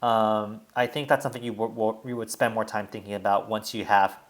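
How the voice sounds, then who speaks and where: A man's voice: fairly low pitch, fairly even intonation, a little fast. A young man in a casual, reflective vlog monologue, rambling and restarting often, with constant "like" fillers. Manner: rambling, heavy use of "like" fillers, frequent restarts. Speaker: language learning vlogger.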